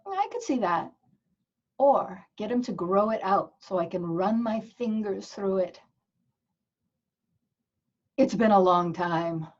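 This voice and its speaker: Actress as innocent sheltered nun, earnest, easily scandalized, very animated pitch, comedic table read, dull lo-fi call audio. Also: female voice, slow pace, pauses often. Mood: wistful. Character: naive, sheltered, wistful nun, a woman's future self.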